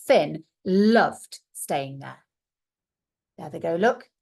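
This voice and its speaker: A woman's voice: fairly low pitch, expressive, extremely slow, gravelly, darkish tone. A woman reading a storybook aloud to young children like a primary teacher, expressively and with little asides to her listeners.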